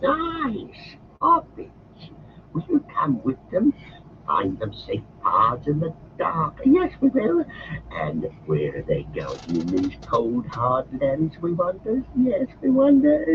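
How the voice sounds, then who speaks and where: A wretched, twitchy little creature whines and wheedles in a shrill, cracking voice, as a man puts him on. The pitch leaps wildly between squeals and low mutters. It sits on a dull, lo-fi audiobook track with steady hiss behind it. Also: slow, full character voice. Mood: wheedling. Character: wretched hissing creature, male, small, twitchy.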